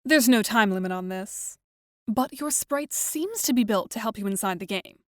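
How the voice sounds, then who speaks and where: A woman's voice: medium pitch, lively intonation, noisy background, lightly acted. A woman voicing a composed, wry, articulate teenage girl in an audio drama, with formal, dry delivery. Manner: formal, dry, measured wording. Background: music.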